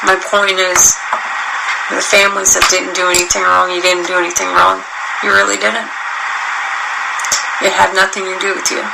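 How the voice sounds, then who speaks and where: A woman's voice: medium pitch, little pitch movement, normal speed, vocal fry, pauses often, heavy background noise. A woman hosting a live internet radio talk show, speaking in an earnest, heartfelt way.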